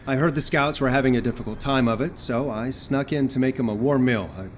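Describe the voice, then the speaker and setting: An actor playing a cheerful, scatterbrained young man in a video game, chatty, rambling and excitable. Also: mid-range voice, a little fast, far from the mic, close to an everyday voice. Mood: friendly, flustered. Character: cheerful, scatterbrained young male comrade fond of feeding people. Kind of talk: video game cutscene acting. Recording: off-mic, music or noise behind.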